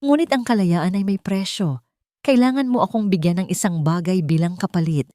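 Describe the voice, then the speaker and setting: A woman's voice: fairly low pitch, moderate pace, warm tone, no echo, clean studio sound, lightly acted. A woman voicing a cold, ruthless male mafia boss in a dramatic audiobook, controlled and merciless. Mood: cold, businesslike.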